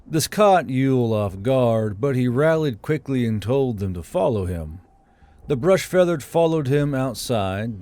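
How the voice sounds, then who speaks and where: A man reading a scripted fantasy story aloud as an audiobook narrator. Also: medium pitch. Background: soft background music.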